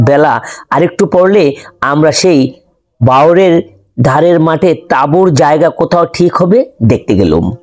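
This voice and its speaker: Higher-pitched man narrating a story. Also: darkish tone. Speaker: audiobook narrator. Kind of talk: scripted narration of a classic ghost story.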